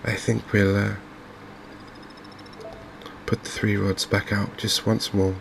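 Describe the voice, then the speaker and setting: A man hosting a gaming video in a softly spoken ASMR commentary style, unhurried and hesitant, musing to himself. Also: lowish voice, flat delivery, vocal fry, noisy background.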